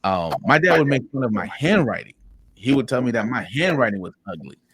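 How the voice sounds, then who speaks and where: A man's voice: mid-range voice, sing-song, a little fast, a bit bright, slightly rough, no room sound. A man from Haiti, a podcast guest, tells personal stories in an earnest way, repeating words as he starts his sentences. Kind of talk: reflective personal storytelling.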